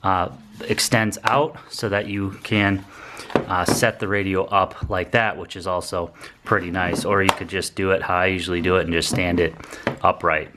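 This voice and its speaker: Male product reviewer, slightly deep voice, informal and plainspoken, walking listeners through features casually. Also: little pitch movement, normal speed, very noisy.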